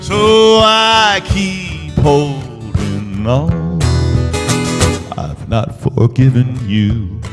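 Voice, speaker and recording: A male singer-songwriter singing slowly in a classic country style. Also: a little high, animated, music in the background. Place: live-streamed music show at a venue.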